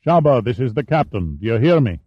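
A man's voice: medium pitch, very animated, fairly quick, breathy edge, no echo. A man voicing a stern older battleship captain who gives clipped commands. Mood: commanding. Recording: dull, dry studio sound.

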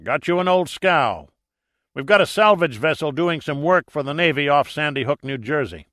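A man voicing a gruff, wily older father, a wealthy shipowner, in an audiobook. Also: a little high, expressive, normal speed, a bit bright, no room sound, clean studio sound, voice pushed up. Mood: brisk. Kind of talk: audiobook character dialogue.

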